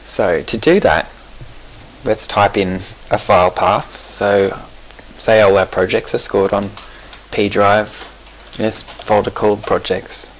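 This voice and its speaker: A man with a fairly low voice walks through a program's settings in a screencast tutorial. He explains step by step in an easygoing, unscripted way, with the odd "um" and a slip he corrects himself. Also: takes it slowly, slight background noise, low-quality recording. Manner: relaxed explanatory, with fillers and self-corrections. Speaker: software tutorial presenter. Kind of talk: screencast software walkthrough.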